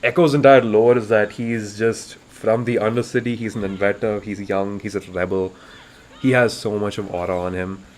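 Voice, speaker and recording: A man from India doing live, excitable fighting game commentary, his voice getting raspy, swinging between hype and quiet asides. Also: fairly low pitch, normal speed. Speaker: esports commentator.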